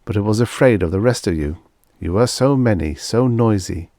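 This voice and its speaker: Earnest, low-voiced male space explorer, read by a narrator. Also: lively intonation, normal speed, dark tone, no room sound, studio quality. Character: thoughtful male space explorer explaining alien creatures.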